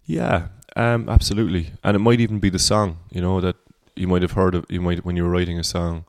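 A singer-songwriter from Cork with a Cork Irish accent and a baritone voice, speaking as a guest in a relaxed podcast conversation and thinking aloud as he talks. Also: a little fast. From Cork, Ireland. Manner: reflective, rambling.

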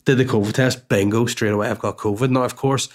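A man's voice: mid-range voice, steady tone, fast talker. A man with a stuffy, congested voice, telling a rambling, chatty personal story at home as a podcast host, with swearing and asides. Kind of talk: casual storytelling monologue.

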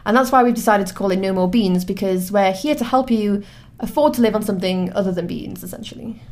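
A woman's voice: medium pitch, fast talker, a little mellow. A woman in her twenties with a Newcastle accent, co-hosting a podcast in an upbeat, chatty way. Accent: Newcastle (Geordie). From Newcastle, England. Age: 20s. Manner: chatty, upbeat, frequent fillers. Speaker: podcast co-host. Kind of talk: casual podcast chat.

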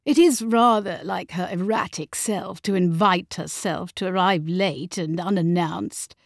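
Storybook lord and husband played by a woman: cold, cutting, impatient, unhurried drawl. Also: mid-range voice, lively intonation, gravelly, fairly bright tone, no echo, studio quality, heavily acted. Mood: cutting, exasperated. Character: coldly bored, cutting aristocratic husband and father. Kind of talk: audiobook character acting.

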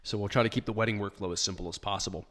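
Hoarse man hosting a chatty podcast. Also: lowish voice, steady tone, racing, fairly bright tone. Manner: chatty and plainspoken, many ums and restarts. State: hoarse from shouting. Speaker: podcast host answering listener questions. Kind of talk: casual live podcast chat. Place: small home studio.

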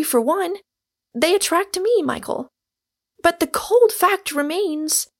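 A high-voiced, earnest young storybook lady, as a woman reader plays her, sensible and sharp. She speaks with emphasis, well above an everyday pitch.

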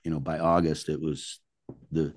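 Deep-voiced man telling stories. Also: little pitch movement, normal speed. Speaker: interview guest, musician. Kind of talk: casual interview storytelling.

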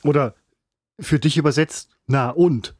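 A man with a somewhat high voice banters emphatically and boasts in jest. The sound is dry and close, with no room around it. He talks like a podcast regular among friends. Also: measured pace, darkish tone, no echo. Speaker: podcast co-host. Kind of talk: casual chat.

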